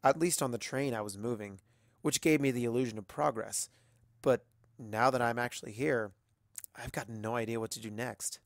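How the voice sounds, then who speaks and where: Mid-pitched storyteller, very dry sound. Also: moderate pace, vocal fry, no room sound. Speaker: fan fiction reader. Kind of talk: expressive first-person story narration.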